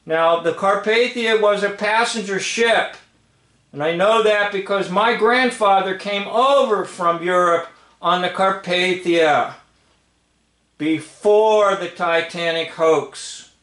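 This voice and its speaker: A man with a high-pitched voice commentates on film footage in an unscripted, emphatic way. He speaks slowly, repeating phrases and checking that the listener follows. The recording is roomy, with clear echo around his voice. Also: a bit bright. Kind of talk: off-the-cuff commentary over old film footage. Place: indoor room, filming a screen. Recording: roomy, echoing home recording.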